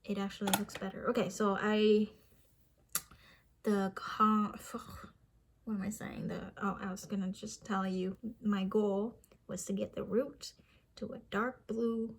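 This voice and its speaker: A woman's voice: mid-range voice, level tone, measured pace, a little hiss or hum. A young woman whose first language is Vietnamese speaks with a light Vietnamese accent. She talks to the camera in a casual, chatty, self-deprecating way, with restarts and small laughs. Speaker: vlogger.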